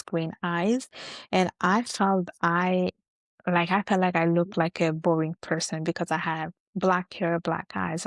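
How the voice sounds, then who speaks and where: A young woman with an Italian accent talks things through in an even, fairly low voice. She leans on fillers like "like" and "you know" as she reflects. The sound is close and dry. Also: dry recording. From Italy. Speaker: guest. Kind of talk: casual interview chat.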